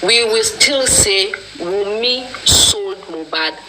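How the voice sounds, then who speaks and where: A woman's voice: medium pitch, lively intonation, takes it slowly, noisy background. A woman with a Nigerian accent speaking passionately and accusingly in a casual online discussion, leaning on proverbs. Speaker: discussion participant.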